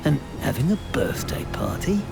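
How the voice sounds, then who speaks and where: A determined special operative in a war game. His voice is gravelly and mid-pitched, terse and wry as he talks to himself, under very loud music. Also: huge pitch swings, normal speed, exaggerated voice. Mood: dry, menacing. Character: gritty, determined British special operative in wartime.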